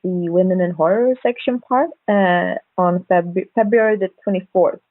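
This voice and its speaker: A woman speaking as a podcast guest co-host, chatting casually about horror films in hesitant, halting phrases. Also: fairly low pitch, no room sound. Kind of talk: casual horror film chat. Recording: narrow phone-like call audio, dry.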